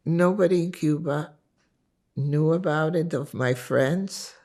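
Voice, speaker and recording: An older woman from Lithuania whose first language is Yiddish, recalling her life story in an interview in an unhurried, reflective way. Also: low-pitched, mellow. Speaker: interviewee giving testimony.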